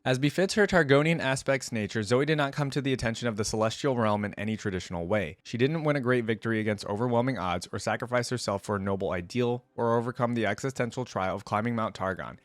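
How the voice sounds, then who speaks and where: A man's voice: mid-range voice, fast talker, few pauses, no echo. A man hosting a reaction channel, talking casually as he reads text aloud and comments on it.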